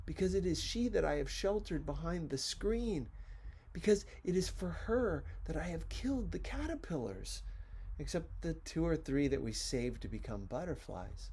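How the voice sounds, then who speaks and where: A man's voice: a little high, big pitch swings, normal speed, a little mellow, breathy edge, exaggerated voice, poor audio. A man reading as an earnest young boy prince, heartfelt and insistent.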